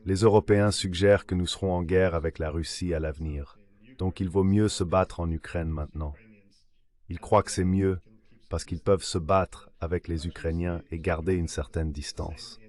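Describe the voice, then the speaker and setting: A man's synthetic voice reading dubbed analytical commentary as an interview guest, in a measured, explanatory way. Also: deep voice, a little mellow. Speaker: translated voice of an interview guest, an academic.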